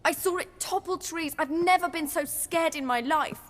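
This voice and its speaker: An actress playing a bitter young noblewoman who talks in a cold, sharp, sarcastic way. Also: very high voice, normal speed. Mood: shaken. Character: bitter young noblewoman, estranged daughter, zealous. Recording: long hall reverb.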